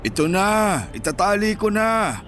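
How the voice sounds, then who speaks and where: Casting: elderly hawker, high voice, plain-spoken and a bit contrary, radio drama. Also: male voice, very animated, unhurried, a bit bright, slight background noise, full character voice. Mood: grudging. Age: older. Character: elderly male balot vendor, grumbly but deferential.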